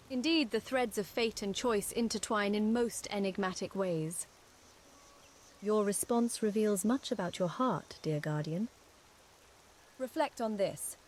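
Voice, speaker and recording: A woman voicing a courteous, earnest young mage companion in a fantasy game, speaking formally and gently. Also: mid-range voice, a little hiss or hum, close to an everyday voice. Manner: formal, eloquent, gentle, measured. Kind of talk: scripted fantasy game dialogue.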